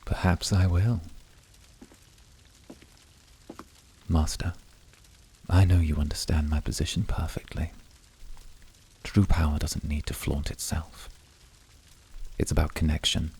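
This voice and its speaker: A man voices a brooding incubus seducer for an ASMR roleplay. The voice is dark and thick with gravel, delivered slowly. He stays calm, intimate and measured. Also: extremely low pitch, exaggerated voice. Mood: quietly seductive. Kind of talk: scripted flirty ASMR roleplay.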